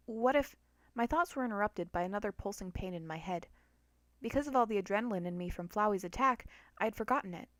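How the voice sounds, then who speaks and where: Woman narrator, mid-pitched, expressive dramatic storytelling, first-person fiction reading, very dry close sound. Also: no room sound.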